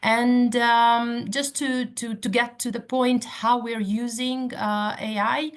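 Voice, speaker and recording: A woman from Romania with a Romanian accent, speaking as a panelist in an online talk in a measured, hesitant manner. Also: on the high side, flat delivery. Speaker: panelist, cluster representative.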